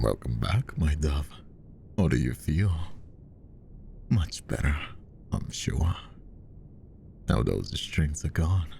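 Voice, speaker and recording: Man acting a very deep, possessive magician hypnotist. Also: slight background noise, full character voice. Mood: tender, possessive. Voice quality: soft, very deep, gravelly. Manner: slow, hushed, coaxing, often slipping into whispers. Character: possessive, smooth-talking male magician hypnotist, menacingly tender. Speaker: voice actor. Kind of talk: roleplay ASMR.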